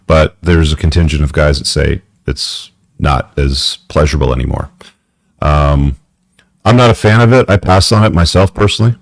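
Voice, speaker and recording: Male call-in host, very low pitch, dry sound, blunt casual advice. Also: normal speed, gravelly, no room sound. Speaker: podcast host. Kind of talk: live call-in advice show. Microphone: headphones.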